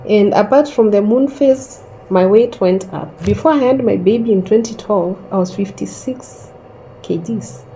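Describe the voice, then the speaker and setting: A woman in her thirties slowly telling a personal story in a calm, reflective way, pausing often. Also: mid-range voice, slight background noise, low-quality recording. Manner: slow and reflective, with long pauses. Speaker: host sharing a personal story.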